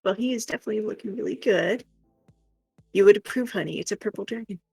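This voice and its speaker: A woman's voice: mid-range voice, fast, creaky, darkish tone, slightly rough, no echo, poor audio. Lady co-hosting a stream, affectionate casual chat, dry close sound, bit of music behind. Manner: affectionate, encouraging. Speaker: stream co-host helping with the chat.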